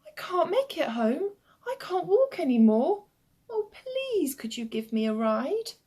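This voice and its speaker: Lady being a little storybook kid, high pitched, sweet n pleading, really swoopy. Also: huge pitch swings, slow pace, heavily acted. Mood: tired, pleading. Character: eager, pleading, admiring little children, including small boy Jack.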